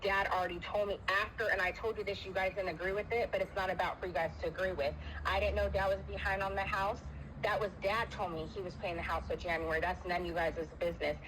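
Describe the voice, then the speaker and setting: A woman's voice: mid-range voice, steady tone, fast, fairly bright tone. A woman in a heated personal argument on a phone call, speaking emphatically and repeating herself to press her points. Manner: emphatic, repetitive, talks over the other speaker. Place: phone call with noise behind. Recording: noisy phone call recording.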